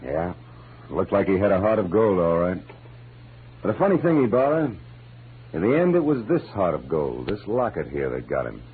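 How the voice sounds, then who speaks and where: An actor playing a wry, world-weary American private detective in 1940s radio noir, with sardonic, slangy narration. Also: male voice, low voice, sing-song, moderate pace, breathy edge, full character voice. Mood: wry, explaining. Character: wry, hard-boiled male private detective, narrating his cases. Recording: muffled vintage radio recording, music behind.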